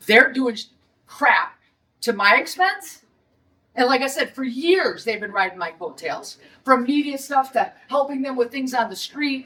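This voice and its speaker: A woman's voice: sits high, fairly bright tone, far from the mic, low-quality recording. A middle-aged woman from Detroit with a Detroit accent, a restaurant owner holding a blunt, indignant press conference.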